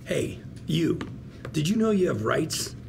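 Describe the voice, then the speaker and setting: Showy folksy lawyer, creaky highish male voice, salesman patter, bit of room noise. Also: sing-song, slow pace, darkish tone, full character voice. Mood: brash pitch. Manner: theatrical, folksy salesman-like persuasion. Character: slick, fast-talking male defense lawyer, folksy and showy. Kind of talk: acted table read of a TV script.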